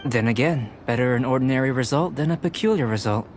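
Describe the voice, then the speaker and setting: A man voicing a calm, detached young alchemist in an anime-style video game, speaking in a measured, precise, professorial way. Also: mid-range voice, slight background noise, lightly acted. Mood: reflective, explanatory.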